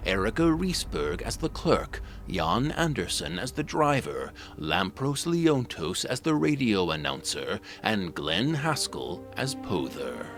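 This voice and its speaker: A man narrating from a script as the announcer of an audio drama, reading formally. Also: mid-range voice.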